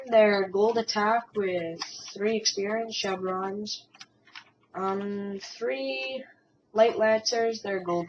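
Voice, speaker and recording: A woman giving casual, hesitant commentary on a replay of a strategy game battle, a bit hoarse from a cold. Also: medium pitch. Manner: hesitant, many ums and restarts. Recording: distant, muffled mic with faint hiss.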